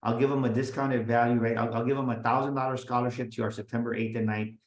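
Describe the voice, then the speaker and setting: A man talking as a guest business coach, energetic and emphatic, often restarting and repeating his words. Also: mid-range voice, fast. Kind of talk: motivational interview talk. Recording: roomy echo.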